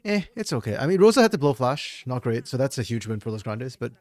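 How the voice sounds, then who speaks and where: A man talks through a match replay on his own, his voice a bit hoarse and gravelly. He thinks aloud, often restarting mid-sentence, in a relaxed commentary style. The sound is dry and close, with no room around it. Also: medium pitch, fast talker, dry recording. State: slightly hoarse. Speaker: esports caster doing a solo VOD review. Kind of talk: casual solo game analysis commentary.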